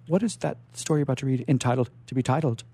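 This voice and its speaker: A man's voice: mid-range voice, fast, mellow, husky. A man hosting a radio storytelling show in a theatrical, playful, effusive showman's manner.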